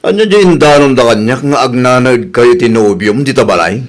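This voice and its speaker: A man's voice: medium pitch, moderate pace, lightly acted. A man playing a stern, protective elder brother in a radio drama, scolding, with an Ilocano accent. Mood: questioning.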